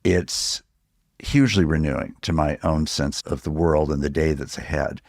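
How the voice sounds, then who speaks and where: American guy interviewing on a podcast, super deep voice, thoughtful and measured, pauses between phrases. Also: fairly even intonation, darkish tone. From Pacific Northwest, United States. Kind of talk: reflective interview conversation.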